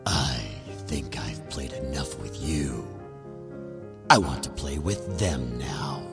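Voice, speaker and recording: A man's voice: extremely low pitch, gravelly, music in the background, heavily acted. A man playing a sadistic demonic monster in a horror audio drama, taunting its victims playfully and drawing out its words. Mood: gleeful menace. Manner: slow, taunting, drawn-out delivery.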